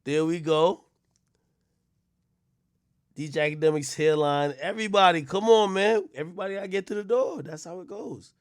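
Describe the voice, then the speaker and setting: A man's voice: high-pitched, normal speed, pauses often, no room sound, clean studio sound. A man live-streaming, chatting loosely and profanely straight to his viewers. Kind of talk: casual live-stream chat.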